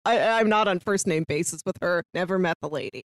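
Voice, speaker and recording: A woman chats casually as co-host of a movie podcast. Her voice sits a little low for a woman. The sound is dry, with no room echo around her words. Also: fairly quick.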